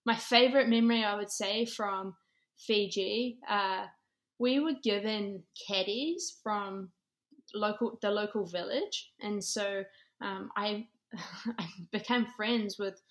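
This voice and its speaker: A woman's voice: medium pitch, fairly even intonation, slow pace. A woman in her thirties with a New Zealand accent, talking conversationally as an interview guest and telling stories. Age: 30s. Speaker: podcast guest, former college golfer and ministry director.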